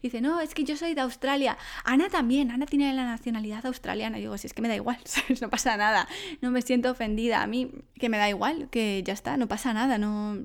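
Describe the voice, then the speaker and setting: A woman from Spain with a Spanish accent, chatting casually to her viewers and telling lively stories about life abroad. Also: a little high, brisk. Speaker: vlogger living abroad. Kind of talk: casual chat to camera.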